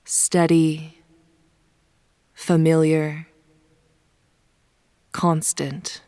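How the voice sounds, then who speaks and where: A woman leading a guided yoga nidra meditation in a soft, soothing, unhurried manner, with phrase ends sometimes hushed. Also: sits low, level tone, smooth, dry recording, studio quality. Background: soft pink noise.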